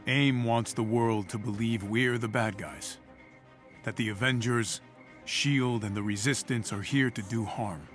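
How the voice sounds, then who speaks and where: A man acting a steadfast superhero leader in a video game. He sounds earnest and measured, rallying people with idealism over faint music. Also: mid-range voice, fairly even intonation, lightly acted. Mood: earnest resolve.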